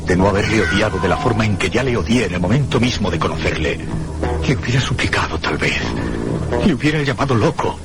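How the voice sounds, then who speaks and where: Actor as a low-voiced, haunted horror narrator. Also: male voice, animated, loud music behind the voice, natural voice. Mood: haunted dread. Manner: theatrical, deliberate phrasing. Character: haunted, dread-filled man recounting his ordeal. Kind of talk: dramatic radio horror narration.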